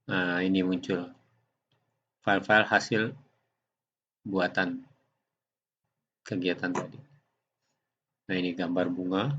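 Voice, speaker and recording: Man, very low pitch, halting tutorial narration, muffled lo-fi sound. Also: level tone, a bit bright, a little hiss or hum. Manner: halting, step-by-step explaining. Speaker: software tutorial presenter. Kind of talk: unscripted screen demonstration narration.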